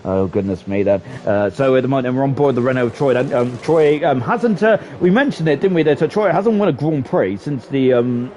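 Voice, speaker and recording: A man giving live sim racing commentary in a chatty, excitable way, often ending sentences with tag questions. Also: medium pitch, slight background noise. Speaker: esports race commentator.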